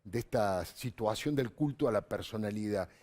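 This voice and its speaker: A man's voice: lowish voice, moderate pace, a little mellow. A man with an Argentine accent conducting a political television interview as the host.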